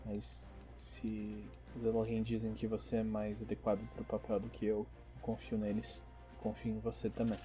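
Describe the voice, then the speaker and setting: A man playing an earnest, mellow-voiced recruiting agent. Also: on the low side, completely flat delivery, moderate pace, faint music behind, close to an everyday voice, poor audio. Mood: solemn, trusting. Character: earnest, solemn male agent recruiting young heroes.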